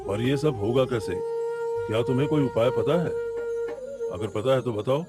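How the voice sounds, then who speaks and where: पुरुष अभिनीत कार्टून का नेकदिल, परेशान गाँव का आदमी. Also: male voice, mid-range voice, moderate pace, loud music behind the voice, lightly acted. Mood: concerned. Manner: earnest, questioning. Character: earnest troubled village man promising rescue. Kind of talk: Hindi animated moral story acting.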